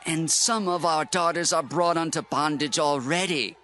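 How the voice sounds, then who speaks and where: Man playing a lamenting townsman, high voice, bright and tense. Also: normal speed, a faint crowd. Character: grieving man of the people, lamenting bondage. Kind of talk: dramatized scripture reading.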